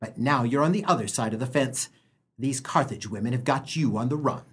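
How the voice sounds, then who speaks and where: An actor playing a sardonic, penny-pinching small-town husband in a comic radio play, blustering with a slightly husky voice. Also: male voice, medium pitch, a little fast, a bit bright. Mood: grumbling, ranting. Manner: blustering, sarcastic, declamatory.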